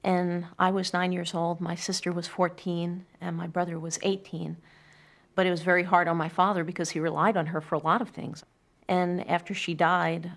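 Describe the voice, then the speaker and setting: A middle-aged woman with a fairly low voice and a noticeable creak. She speaks earnestly and thoughtfully, in the reflective manner of someone sharing something personal in a quiet room. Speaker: poetry reader telling family memories. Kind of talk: reflective personal storytelling and poem reading. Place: quiet furnished room indoors.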